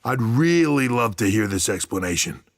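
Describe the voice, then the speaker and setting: A man's voice: lowish voice, very animated, normal speed, no room sound, studio quality. A man playing a stern, authoritative American wrestling executive in a video game, blunt in delivery. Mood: stern, angry. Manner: blunt, scolding. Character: stern, authoritative male wrestling company boss. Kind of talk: video game cutscene acting.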